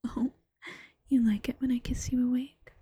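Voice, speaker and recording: A woman voicing an affectionate, teasing bunny-girl girlfriend in a slow, coaxing ASMR whisper. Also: fairly high pitch, level tone, mellow, slightly rough. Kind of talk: ASMR roleplay.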